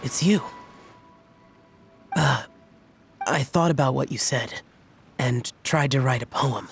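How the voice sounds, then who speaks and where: Slow, hesitant, gentle young poet. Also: male voice, mid-range voice, vocal fry, soft background music. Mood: shy, sincere. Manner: hesitant, soft-hearted, sincere. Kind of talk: video game voice acting.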